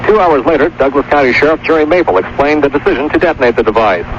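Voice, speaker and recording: Male news correspondent, rapid scripted delivery, faintly noisy old broadcast. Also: a little high. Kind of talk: scripted field report. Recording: dull noisy radio field report.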